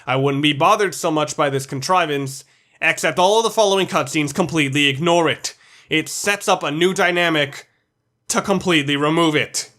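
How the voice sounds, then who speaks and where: A man's voice: a little high, moderate pace, sharp tone. A man giving scripted commentary as the narrator of a countdown video essay.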